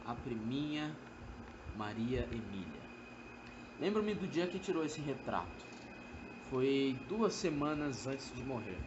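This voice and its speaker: Radio drama villain, played by an amateur actor: young man, sly, deliberate, quietly sinister. Lo-fi sound with clear background noise. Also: mid-range voice, big pitch swings. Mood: wistful, feigned nostalgia. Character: calm, sly, sinister young man trapping his former lover.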